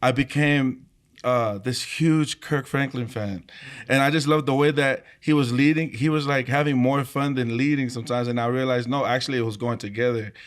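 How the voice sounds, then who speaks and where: Man, tongan native speaker, relaxed interview talk, mid-pitched, easygoing. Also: moderate pace. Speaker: choir leader, band member. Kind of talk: casual interview chat.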